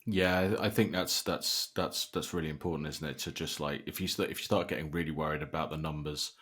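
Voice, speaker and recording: A man's voice: very deep voice, fairly even intonation, fairly quick, a bit bright, slightly rough. A man talking casually as a podcast co-host, in a conversational discussion.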